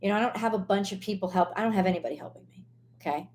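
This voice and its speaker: A woman with a hoarse, worn voice hosts a casual live stream at an ordinary medium pitch. She talks bluntly and swears freely, circling back with restarts as she vents her frustration. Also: rapid-fire, a little mellow. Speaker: tarot reader hosting a live stream.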